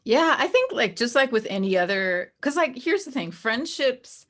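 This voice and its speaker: A woman's voice: on the high side, sing-song, moderate pace. A woman speaking as an interview guest in a candid, reflective and informal conversational way.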